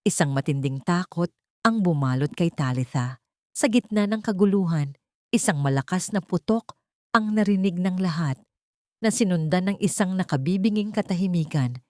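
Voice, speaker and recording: Female audiobook narrator, Filipino accent, lowish pitch, dramatic storytelling, dry recording. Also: expressive, normal speed, warm tone, no room sound.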